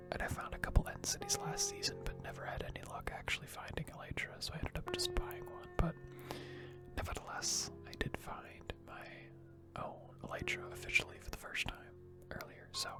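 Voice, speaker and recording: A person giving rambling, hesitant gaming commentary in a breathy, unvoiced ASMR whisper close to the microphone. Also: medium pitch. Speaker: gaming video host.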